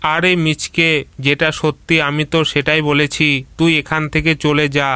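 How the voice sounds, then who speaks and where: Cartoon tiger father, played by a man: haughty bully, hard bright tone, scornful delivery. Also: a little high, flat delivery, measured pace, smooth, no room sound. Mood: smug, menacing. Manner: contemptuous, scolding. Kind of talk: cartoon voice acting.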